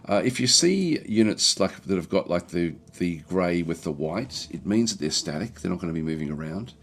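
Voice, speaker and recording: A man with a croaky, recovering voice giving casual, halting livestream commentary as he plays a strategy game and chats with viewers. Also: sits low, lively intonation. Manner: halting, thinking aloud. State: croaky throat, recovering from feeling unwell. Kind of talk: casual game commentary, reading and answering chat.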